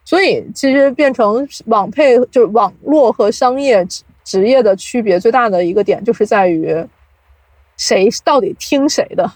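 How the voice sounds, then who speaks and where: Higher-pitched woman, reflective and conversational. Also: lively intonation, moderate pace, darkish tone, no room sound, rough recording. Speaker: podcast guest, audio drama producer. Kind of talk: casual conversational interview.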